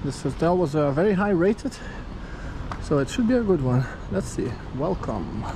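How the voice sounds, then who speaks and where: Male travel vlogger, thirties, slightly higher voice, casual thinking-aloud delivery, noisy handheld camera audio. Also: lively intonation, normal speed. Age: 30s. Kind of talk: casual spoken-as-it-happens travel vlog narration. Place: city street, then hotel lobby, lift, corridor and room. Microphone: action camera held at arm's length.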